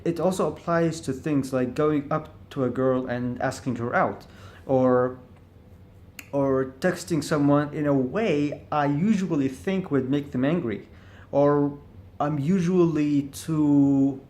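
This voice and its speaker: A man's voice: on the high side, darkish tone, a little hiss or hum. A man talking alone in a reflective vlog, stuttering on words and restarting often as he thinks aloud. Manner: stutters with repeated syllables and restarts; thinks aloud, hesitant and searching. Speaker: vlogger. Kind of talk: reflective monologue to camera.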